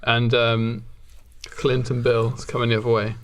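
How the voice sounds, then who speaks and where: A man's voice: mid-range voice, completely flat delivery, normal speed. A man podcasting in a casual, comedic brainstorming chat, telling a story in a hesitant, stop-start way. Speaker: podcast host. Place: small indoor recording booth. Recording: noticeable background noise and clicks.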